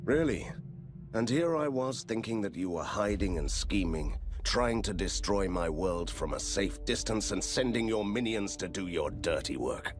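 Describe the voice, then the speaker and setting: A low-voiced monster hunter in a fantasy game. He is sardonic and resolute, and his dry lines rise and fall in lively swings. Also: a little slow, soft background music. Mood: defiant anger. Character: sardonic, determined male monster hunter hero. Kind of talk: dramatic video game hero acting.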